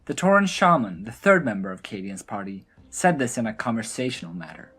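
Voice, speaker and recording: A woman's voice: a bass voice, animated, a little fast, fairly bright tone, slightly rough. A woman narrating a scripted fantasy audio drama as its storyteller.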